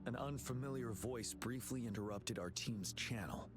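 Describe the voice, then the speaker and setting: A man playing a calm, commanding young general in a video game, speaking in a measured, formal, authoritative way. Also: mid-range voice, natural voice. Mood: grave, composed. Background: game music.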